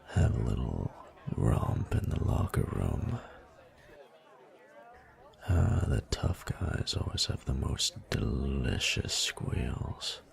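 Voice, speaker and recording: Man acting a rumbling, gravelly, cocky bully. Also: full character voice. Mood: smug, scheming. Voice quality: very deep, gravelly, breathy murmur. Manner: slow, drawling, taunting. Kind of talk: scripted audio roleplay monologue.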